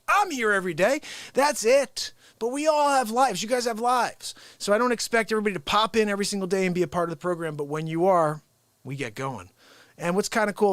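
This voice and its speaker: A man from the United States hosting an unscripted live stream, rambling excitedly, chuckling and greeting viewers by name. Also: high voice, no echo. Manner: hypes the show with catchphrases. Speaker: livestream show host.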